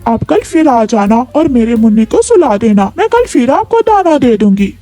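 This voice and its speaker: Woman acting village mother, grateful, earnest, high lively voice, storybook cartoon, faint music. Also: moderate pace, no echo. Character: grateful, kindly farmer's wife, a young mother.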